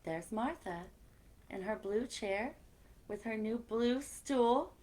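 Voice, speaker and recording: A woman's voice: a little high, lively intonation, takes it slowly, a little mellow, slight background noise. A woman hosting a children's story time, reading aloud expressively and chatting directly to her young listeners. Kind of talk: animated read-aloud for children with chatty asides.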